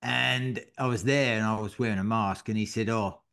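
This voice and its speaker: A man's voice: fairly low pitch, steady tone, moderate pace, creaky, a bit bright, clear, resonant tone. A middle-aged man co-hosting a podcast, telling a long story in casual chat and swearing freely. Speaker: podcast co-host.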